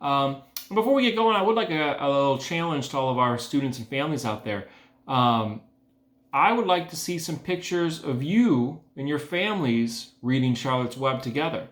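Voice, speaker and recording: A man's voice: mid-range voice, lively intonation, normal speed, fairly bright tone. A man, an educator, talking casually to students and narrating a children's storybook aloud. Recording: echoey room.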